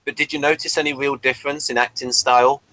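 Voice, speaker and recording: Man with a very bright, sharp voice chatting. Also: medium pitch, level tone, fast, rough recording. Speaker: host of a TV review show. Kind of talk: casual review chat.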